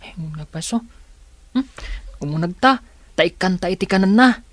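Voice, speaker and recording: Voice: mid-range voice, lively intonation, normal speed, no echo, natural voice. A performer playing a caring, protective older village child in a radio drama, earnest and kindly. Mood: kind, concerned. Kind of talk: Ilocano radio drama acting.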